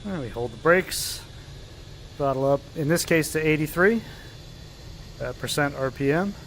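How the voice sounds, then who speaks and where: A man's voice: fairly high pitch, animated, unhurried, fairly bright tone, a little hiss or hum, low-quality recording. A man with a stuffy, slightly nasal voice narrating a simulated flight live, explaining as he goes with many fillers. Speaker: flight sim pilot streaming.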